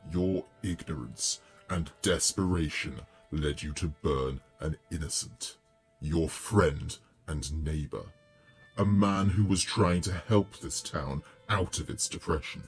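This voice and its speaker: Casting: ancient inhuman demon judge for horror audio, booming and extremely low, solemn menace, music beneath. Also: male voice. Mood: wrathful, judging. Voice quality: deep, booming. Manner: slow, solemn, menacing pronouncements. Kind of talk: horror audio story acting.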